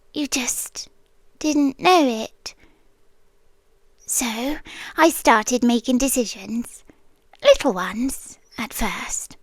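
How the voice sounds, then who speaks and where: A British woman voicing an obsessively protective monster girlfriend in an intimate, soft-spoken ASMR roleplay, often slipping into whispers. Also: sits high, no echo, heavily acted. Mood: yearning, possessive. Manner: slow, hushed, often dropping into whispers. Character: possessive, obsessively protective female monster girlfriend, stalker guardian. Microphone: close ASMR microphone, dry sound.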